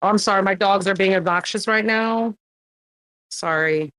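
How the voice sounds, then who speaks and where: A woman's voice: mid-range voice, moderate pace, a bit bright. A woman who is a regular on the show, chatting casually in an informal, teasing manner. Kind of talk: casual chat.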